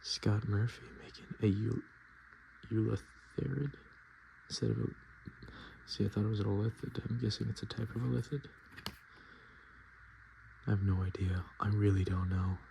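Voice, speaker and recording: A man with a fairly low voice speaks softly in casual ASMR commentary, slipping often into a whisper. His voice is heavily creaky, and he murmurs in a halting, hesitant way. There is clear noise in the recording. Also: steady tone, poor audio. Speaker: ASMR video host. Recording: noticeable background noise.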